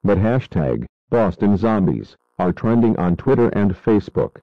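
Man acting as grave radio news anchor, low voice, serious, explanatory, dry dull recording. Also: sing-song, moderate pace, no room sound. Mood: grave concern. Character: grave male radio newsman covering a disaster. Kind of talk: scripted breaking news coverage.

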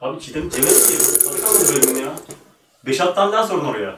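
A man's voice: fairly high pitch, sing-song, fast. A man in his thirties bantering casually as a quiz show host, teasing and exclaiming, at a table in a small studio. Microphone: shared table microphone. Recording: roomy, distant mic, faint noise.

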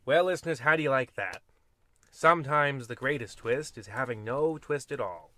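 A man's voice: mid-range voice, moderate pace, bright, forceful tone, no echo. Wry man hosting a spooky radio comedy. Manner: rambling, wry asides, folksy. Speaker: host and storyteller of a radio anthology. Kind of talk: old-time horror radio host, comedic narration.

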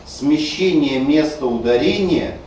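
A man's voice: fairly high pitch, unhurried, a little mellow. A male teacher explains pronunciation rules and sounds out example words. He is picked up by a distant mic, so the voice comes across roomy and heavily echoed. A faint hiss sits underneath. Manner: explanatory, demonstrating pronunciation aloud. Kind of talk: screencast lecture on Russian stress.

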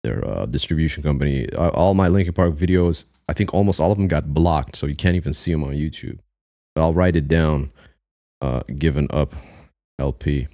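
A man in his thirties reacting to a live concert on his stream, talking casually with slang and bursts of excitement, recorded on a studio mic in a small room. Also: very deep voice, fairly quick. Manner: excitable, slangy, breaks into laughs and hums. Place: small room with blue lights, gaming chair. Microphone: studio mic on arm, headphones.

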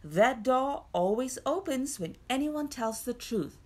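A woman voicing a bossy, gloating storybook dwarf, high-pitched. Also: lively intonation, measured pace. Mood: greedy curiosity. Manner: taunting, commanding.

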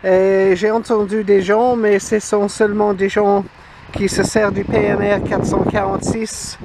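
A man's voice: high voice, little pitch movement, unhurried. A man narrating a casual vlog in short, hesitant phrases into a handheld camera outdoors. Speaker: amateur radio hobbyist. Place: outdoors on a grassy hill. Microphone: handheld camera microphone. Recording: outdoor camera audio with clear ambient noise.

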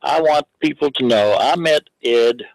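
Older man phoning in, rambling storyteller, mid pitch, dry. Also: unhurried, vocal fry, no room sound, low-quality recording. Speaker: caller. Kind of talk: phone-in call.

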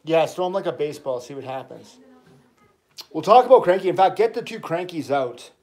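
Chatty dad hosting a toy livestream. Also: male voice, on the high side, animated, fairly quick, a little mellow. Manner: enthusiastic, chatty, rambling toy talk. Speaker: toy channel host, dad. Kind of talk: casual livestream chat with his child.

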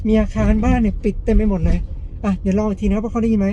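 A man's voice: high voice, little pitch movement, rapid-fire, mellow, a little hiss or hum, low-quality recording. A man hosting a casual gadget test, explaining things step by step to viewers in a polite way. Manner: explanatory, frequent polite particles. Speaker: gadget review host.

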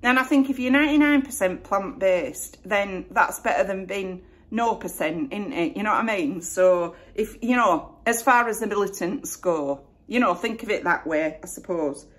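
A woman in her forties with a West Yorkshire accent, chatting to camera at home in a relaxed, self-deprecating way. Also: mid-range voice, moderate pace. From Northern England. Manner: chatty, self-deprecating, lots of "you know". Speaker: YouTuber. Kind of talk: casual Q&A chat to camera. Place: home room with flowers behind.

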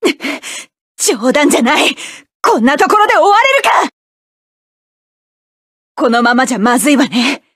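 A woman voicing a proud, hot-tempered young mecha pilot, barking sharp, clipped orders in game battle lines. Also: very high voice, no room sound, studio quality, full character voice.

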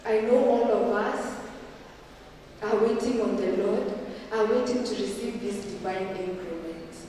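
Young female preacher, Kenyan English accent, exhorting, slowing for emphasis; handheld mic, long church reverb, faint ambience. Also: medium pitch, warm tone, a little hiss or hum. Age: young adult. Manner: earnest exhorting, slows for emphasis, gestures while speaking. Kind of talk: sermon. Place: church hall, indoors. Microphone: handheld mic, camera from a distance. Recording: echoey hall from far off.

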